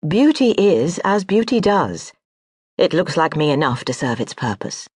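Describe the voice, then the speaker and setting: Narrator voicing proud noblewoman, imperious, crisp commands, lifted pitch, dry studio sound, storybook. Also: sing-song, unhurried, no room sound. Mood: sharp.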